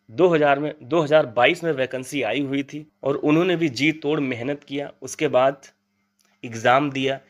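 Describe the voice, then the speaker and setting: A man hosting an exam update channel, explaining things informally and earnestly to viewers, advising them and repeating points for emphasis. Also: mid-range voice.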